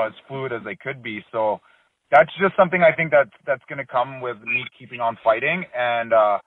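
A man in his thirties, a fighter being interviewed, talking in a relaxed, rambling way full of fillers and restarts. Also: mid-range voice, no echo. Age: 30s. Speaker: MMA fighter, interview guest. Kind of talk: casual phone interview. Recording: dry tinny phone line.

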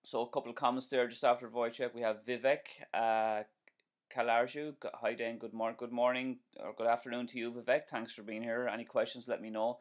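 A man's voice: mid-range voice, level tone, a little fast. A man in his forties with an Irish accent, hosting a live stream as a driving instructor, chatting casually and answering viewer comments with plenty of ums and restarts. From Ireland. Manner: chatty, friendly, repeats himself, many um and uh fillers. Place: small indoor room at home.

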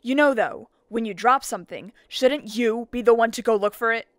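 A woman's voice: on the high side, normal speed, no room sound, studio quality, close to an everyday voice. A woman voicing a blunt, skeptical, slightly impatient schoolgirl in a horror game fan dub, with a casual, frank manner. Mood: reproachful.

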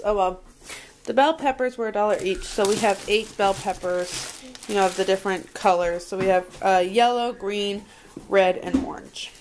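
A woman with a fairly high voice chats casually as a home vlogger. She rambles a little, thinking aloud as she goes. The sound comes from a handheld camera indoors, with slight noise behind her. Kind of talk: casual chat, showing a grocery haul. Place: indoors at a home dining table. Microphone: handheld camera microphone. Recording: noisy handheld camera audio with clicks.

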